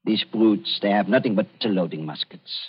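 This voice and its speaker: An actor playing an older German doctor in a vintage radio drama, with a German accent and a halting, over-polite, slyly uneasy manner. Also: male voice, fairly low pitch, breathy edge. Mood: defensive, uneasy. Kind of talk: 1940s radio drama acting. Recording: muffled vintage radio broadcast.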